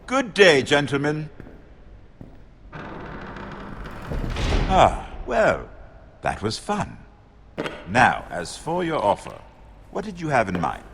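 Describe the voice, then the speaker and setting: An actor playing a shrewd, wry noble lord and royal treasurer in a fantasy game, speaking in a measured, worldly way. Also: male voice, medium pitch, huge pitch swings, vocal fry, noisy background, exaggerated voice. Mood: wry amusement. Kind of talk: dramatic video game dialogue.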